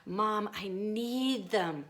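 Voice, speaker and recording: A woman's voice: medium pitch, drawn-out words, a bit bright. A woman voicing an eager, pleading little girl in a storybook, with emphatic delivery.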